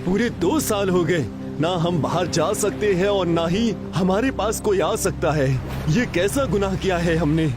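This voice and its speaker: A man's voice: high voice, measured pace, over music. A man voicing a commanding, blunt pirate chief weary of captivity in a story.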